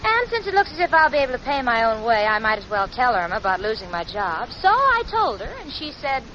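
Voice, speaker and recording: Wry long-suffering career girl, American accent, high forceful voice, crisp delivery, old radio sitcom, dull noisy sound. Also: big pitch swings, fairly quick. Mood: brisk, narrating. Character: sensible wry young career woman, long-suffering roommate. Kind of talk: 1940s radio comedy acting with first-person narration. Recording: muffled, noisy vintage radio, studio audience.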